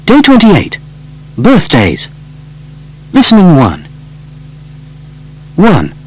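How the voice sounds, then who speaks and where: Animated male presenter, fairly high voice. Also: drawn-out words, breathy edge. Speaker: announcer and radio-style presenter. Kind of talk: scripted lesson announcing and acted radio hosting.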